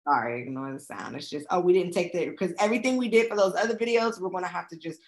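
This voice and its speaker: A woman with a slightly raspy voice, casually talking through a tutorial step by step and often checking in with "okay?". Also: lowish voice, fast talker, noisy background. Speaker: travel agent teaching video making. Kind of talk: screen-recorded tutorial walkthrough.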